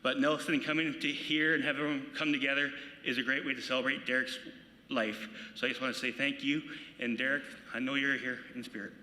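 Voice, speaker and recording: A man with a bright, forward voice speaks earnest, off-the-cuff remarks at a podium. His words carry through a large hall with a long, roomy reverb. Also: medium pitch, flat delivery, fast. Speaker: local politician giving remarks. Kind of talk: unscripted speech. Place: large banquet hall at a gala. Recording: echoey hall.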